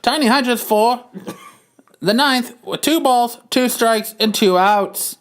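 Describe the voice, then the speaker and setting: A man's voice: very high voice, slow, a bit bright. A man narrating stage directions aloud for an amateur comic reading on a podcast.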